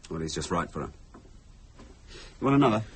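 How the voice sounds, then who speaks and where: An actor playing a wry, articulate young British husband who teases morbidly in a radio thriller. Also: male voice, low-pitched, sing-song, a little fast, a little hiss or hum. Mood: pensive. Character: sardonic, teasing young British husband, educated and morbidly playful. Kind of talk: radio thriller drama dialogue.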